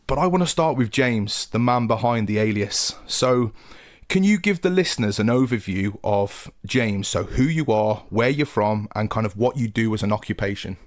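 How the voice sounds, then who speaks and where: A man's voice: on the low side, lively intonation, normal speed. A man hosting a podcast, upbeat and chatty, calling his guest mate. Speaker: podcast host.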